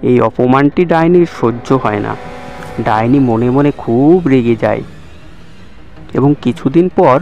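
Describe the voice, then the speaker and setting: Narrator brief: male storyteller for a cartoon tale, medium-pitched, reading over background music. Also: a little mellow, lo-fi sound.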